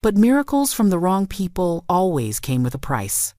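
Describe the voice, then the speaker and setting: A woman reading a scripted dramatic story in a measured, solemn storytelling manner. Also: lowish voice, sing-song, warm tone, dry recording, clean studio sound. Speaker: story narrator.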